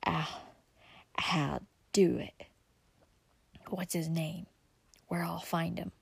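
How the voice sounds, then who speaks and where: A woman voicing a rough, superstitious male moonshiner in an audiobook, with a drawl and hoarse whispering. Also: high-pitched, takes it slowly, no room sound. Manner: drawling backwoods dialect, hoarse frightened whispers. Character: rough old mountain moonshiner, superstitious and loyal.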